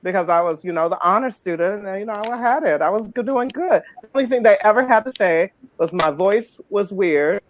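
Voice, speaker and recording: A man's voice: sits high, expressive, fairly quick, hardly any pauses, slight background noise. A man with a very feminine-sounding voice, speaking candidly and reflectively as a panelist in a casual group chat on a video call. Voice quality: super feminine voice. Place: home with a ring light.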